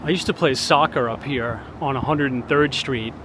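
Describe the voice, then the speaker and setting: A man with a hoarse voice, still getting over being sick, narrating a casual walking tour outdoors. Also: medium pitch, moderate pace. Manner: relaxed, chatty tour guide. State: hoarse, recovering from illness. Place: outdoors on city streets and in a park. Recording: outdoor street noise behind voice.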